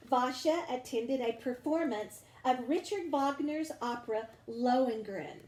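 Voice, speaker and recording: A woman reading a story aloud for children in a deliberate, teacherly way. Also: high-pitched, a little mellow, reverberant. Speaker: storybook reader. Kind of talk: read-aloud narration for children.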